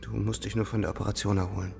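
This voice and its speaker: A man's voice: on the low side, little pitch movement, very fast, vocal fry, a little mellow, faint music behind. An actor playing a weary, grieving young German soldier narrating his war in a radio drama, subdued in manner. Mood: reassuring, hushed.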